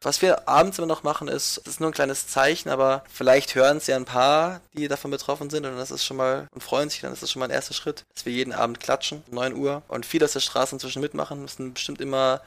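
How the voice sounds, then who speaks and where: An earnest young man from the Munich area shares his views in a reflective conversation. He often searches for words and fills the pauses. His voice has a middling pitch. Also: steady tone, vocal fry, a bit bright.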